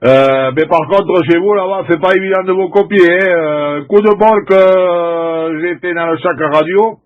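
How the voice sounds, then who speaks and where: A man's voice: high-pitched, steady tone, measured pace, narrow, tinny audio. A middle-aged man from France chatting casually and informally as a CB radio operator, using radio jargon. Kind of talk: casual CB radio contact chat.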